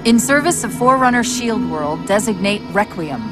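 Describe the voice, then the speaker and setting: An actress playing a quick-witted, anxious female military AI companion whose mind is failing, in cinematic game style, wry, urgent and emotive. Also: mid-range voice, expressive, music in the background, close to an everyday voice. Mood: focused. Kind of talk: cinematic video game voice acting.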